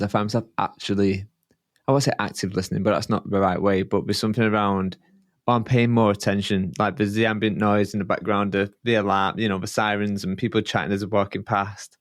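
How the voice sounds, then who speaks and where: A man interviewing a guest on a podcast, his voice pitched somewhat low. He speaks warmly and loosely, stopping and restarting his phrases. The recording is dry and close. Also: fairly even intonation, fairly quick, no room sound. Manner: friendly and hesitant, with fillers and half-started phrases. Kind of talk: casual interview chat.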